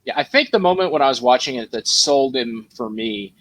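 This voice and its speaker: A man of middling pitch speaks casually as a panelist in a film chat. The sound is dry, with hardly any room around the voice. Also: expressive, fairly quick, a bit bright, no room sound. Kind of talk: casual chat about films.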